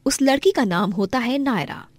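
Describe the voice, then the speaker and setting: A woman's voice: a little high, lively intonation, normal speed, dry recording, clean studio sound. A woman reading a scripted story as its narrator.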